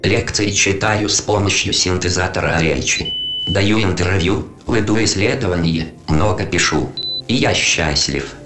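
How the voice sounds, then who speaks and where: A man voicing a scientist's speech synthesizer in a radio play, slow and mechanical with an echoing effect. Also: deep voice, music in the background, pitched below the performer's own voice. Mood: detached, content.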